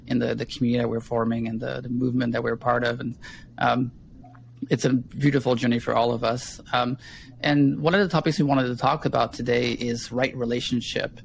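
A man's voice: mid-range voice, level tone, fairly quick, gravelly, slight background noise. A man hosting a podcast interview over a video call, friendly and meandering, with frequent ums and restarts. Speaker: podcast host.

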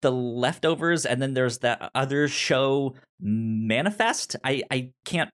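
A man hosting a casual podcast, talking in a halting way and often repeating words as he starts sentences. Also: mid-range voice, expressive, fairly bright tone. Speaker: podcast host steering the segments. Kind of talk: casual chat.

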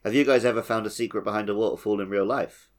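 Low-voiced man chatting casually. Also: steady tone, fast. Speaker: podcast co-host. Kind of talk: casual chat about a video game.

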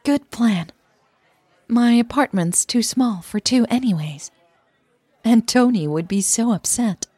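A woman who is a native Dutch speaker, voicing a shy but playful, proud girlfriend in an audio story, softly earnest. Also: fairly high pitch, takes it slowly, breathy edge, dry recording, some chatter behind, pitched above the performer's own voice. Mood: playful, curious.

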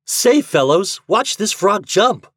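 A man voicing excitable, teasing young boys in a storybook, exclaiming boisterously. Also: on the high side, moderate pace, no echo, clean studio sound, voice pushed up. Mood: boastful, gleeful.

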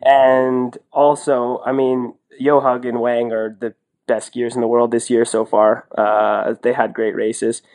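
A man with a medium-pitched voice co-hosting a casual sports podcast. He talks in an enthusiastic, emphatic way and tends to ramble. The style is loose and conversational, like analysis between friends. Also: little pitch movement, measured pace, darkish tone. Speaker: podcast co-host and ski racing commentator.

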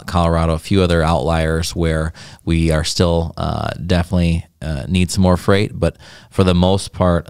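A man's voice: sits low, darkish tone, no room sound, clean studio sound. A man hosting a casual company podcast, talking in a loose, easygoing way with many fillers and restarts. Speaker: podcast host.

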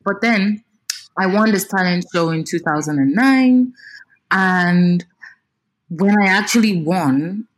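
Expressive Nigerian woman, lowish voice. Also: takes it slowly, poor audio. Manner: expressive, animated, repeats words while thinking. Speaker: singer, interview guest. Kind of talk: casual interview talk.

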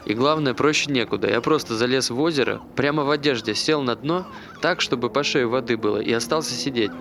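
A man's voice: mid-range voice, a little fast, lightly acted. An actor playing an anxious, self-deprecating man, talking hesitantly and earnestly in naturalistic stage dialogue. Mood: earnest, wry. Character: anxious, self-deprecating man recounting his failed suicide. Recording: faint background noise.

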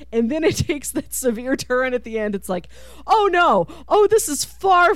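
Chatty, animated woman in a dry, close recording. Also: on the high side, no room sound. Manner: animated, chatty, acts out imagined reactions; laughs through her words. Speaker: podcast co-host. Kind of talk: casual film discussion.

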